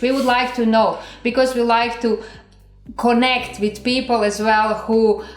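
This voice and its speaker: A woman's voice: on the high side, echoey room. A woman with a Croatian accent, a native Croatian speaker, giving earnest, encouraging relationship advice as a co-host. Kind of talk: casual advice talk.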